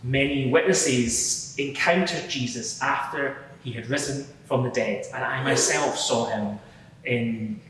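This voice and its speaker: In a big reverberant hall, heard from a distance, a man plays an earnest converted Pharisee on the witness stand. He explains his change of heart in a halting, sincere way, at a middling pitch. It is live courtroom drama with faint noise. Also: a bit bright, close to an everyday voice. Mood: earnest, reflective. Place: large reverberant courtroom before a live gathering.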